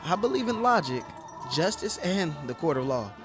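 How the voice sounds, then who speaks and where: An actor playing a nervous, modest city lawyer made sheriff, stammering politely in a comic western audio drama. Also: male voice, fairly high pitch, sing-song, normal speed, music in the background.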